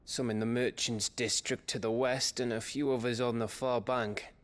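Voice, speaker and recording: An actor playing a wry, loyal rebel scout in a fantasy game, plain-spoken and given to teasing. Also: male voice, medium pitch, flat delivery, normal speed, lightly acted. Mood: sober, reporting. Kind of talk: cinematic game dialogue.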